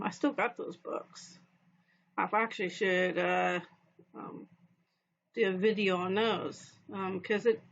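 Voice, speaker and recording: Hesitant woman chatting, dull lo-fi sound. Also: mid-range voice. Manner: hesitant, many fillers and restarts. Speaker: vlogger documenting guitar lessons. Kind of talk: casual rambling commentary over screen recording. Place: home apartment.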